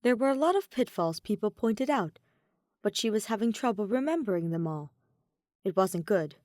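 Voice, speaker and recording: A woman's voice: mid-range voice, lively intonation, normal speed, a little mellow, dry recording, clean studio sound. A woman reading a scripted fan story aloud as its narrator. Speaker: audio fan fiction narrator.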